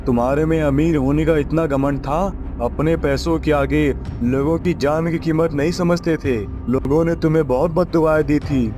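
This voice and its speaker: A man's voice: fairly high pitch, fairly even intonation, moderate pace, hardly any pauses, over music, lightly acted. A man voicing a stern, scornful, all-knowing old sage in a story cartoon, declamatory and accusing. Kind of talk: acted dialogue in a moral story cartoon.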